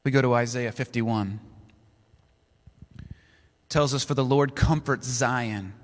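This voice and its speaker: A man preaching a sermon, reading scripture aloud in a slow, deliberate way. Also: mid-range voice. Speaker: pastor. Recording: long reverb of a large hall.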